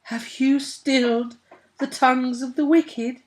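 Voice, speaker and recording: A man plays a possessed cult mother for a tabletop fantasy game, vain and mad. The voice is lifted high and drawn out slowly. Each phrase is chanted with imperious, theatrical weight. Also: steady tone, rough recording. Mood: imperious, chanting. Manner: slow, chanting, theatrical delivery.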